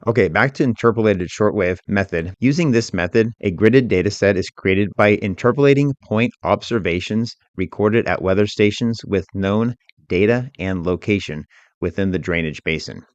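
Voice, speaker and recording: A man narrating a step-by-step software tutorial as an instructor, in a measured, explanatory way. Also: lowish voice. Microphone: voice-over for screen recording. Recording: dull, roomy, echoing sound.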